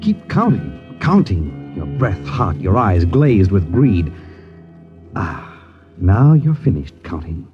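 Slow, low ominous radio narrator. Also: male voice, huge pitch swings, husky, highs cut off, exaggerated voice. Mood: ominous, taunting. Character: ominous insinuating narrator of a suspense tale. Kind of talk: 1950s radio suspense narration, second person. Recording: band-limited old radio recording, music behind.

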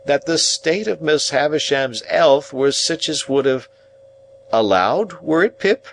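A male narrator acts an earnest, simple working man from a storybook. He labours slowly over his phrases, rustic and kindly, lifted in pitch, with faint noise behind. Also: sing-song. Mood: earnest, effortful. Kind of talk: audiobook character voice.

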